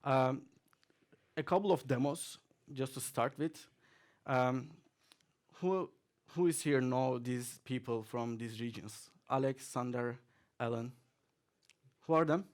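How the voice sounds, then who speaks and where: A man's voice: mid-range voice, slow pace, pauses often. A man giving a chatty conference talk to an audience, with many fillers and the odd chuckle. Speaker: conference speaker. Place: conference hall, audience present.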